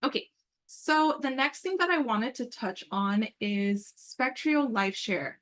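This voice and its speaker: A woman's voice: on the high side, lively intonation, a little slow, fairly bright tone. Woman presenting on a work call. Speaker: meeting presenter and program coordinator. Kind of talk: informal work call presentation with screen walkthrough. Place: online group call.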